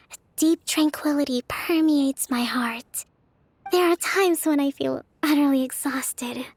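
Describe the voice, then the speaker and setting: A woman voicing a gentle, introverted young priestess and strategist in a video game, soft-spoken, formal and thoughtful. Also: high-pitched, no room sound. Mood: gentle, wistful. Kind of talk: video game character voice acting.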